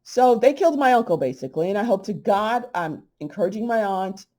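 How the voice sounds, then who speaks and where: A middle-aged woman hosting a holistic health talk, speaking bluntly and emphatically, with a slightly hoarse voice. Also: mid-range voice, animated, a little fast, dark tone. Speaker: holistic health channel host. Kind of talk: casual monologue to camera.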